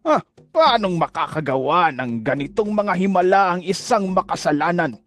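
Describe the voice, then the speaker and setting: A man's voice: sits high, expressive, normal speed, a bit bright. A man playing a questioning, doubtful religious official in an audio drama. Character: questioning, doubtful male Pharisee. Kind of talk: Tagalog audio Bible drama.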